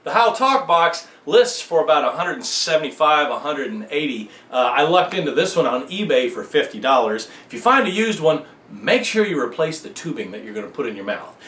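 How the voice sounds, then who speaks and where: A man's voice: fairly high pitch, big pitch swings, fairly quick, a bit bright. A man giving a casual hands-on music gear tutorial in a conversational, lightly wry way. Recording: echoey room, faint background noise.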